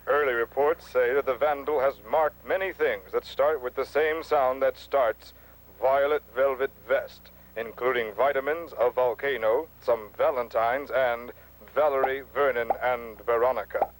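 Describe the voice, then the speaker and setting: An actor playing a solemn, melodramatic radio newscaster in a parody news bulletin for children. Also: male voice, medium pitch, fairly even intonation, unhurried, lightly acted, poor audio. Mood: grave, dramatic. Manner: formal, dramatic announcing.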